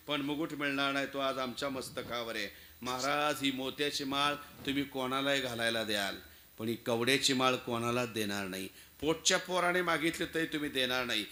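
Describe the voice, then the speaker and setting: A man's voice: medium pitch, bright, forceful tone, slight background noise, close to an everyday voice. A man voicing a proud, devoted soldier in a historical tale, speaking with reverent, impassioned rhetoric. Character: devoted, proud young soldier, willing to die for his king.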